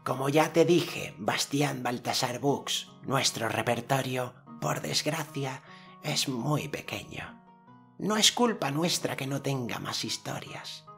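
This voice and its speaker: A man's voice: mid-range voice, animated, takes it slowly, gravelly, fairly bright tone, faint music behind, pitched above the performer's own voice, exaggerated voice. A man voicing a humble, elderly male city elder in an audiobook, formal and apologetic. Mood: apologetic, courteous. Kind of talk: audiobook character voice.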